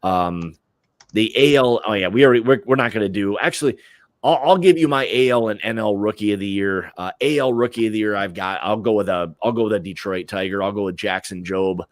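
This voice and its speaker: Low-voiced American man in casual sports chat. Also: fairly quick, dry recording, studio quality. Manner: informal, opinionated, blokey slang. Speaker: host of a sports prediction livestream.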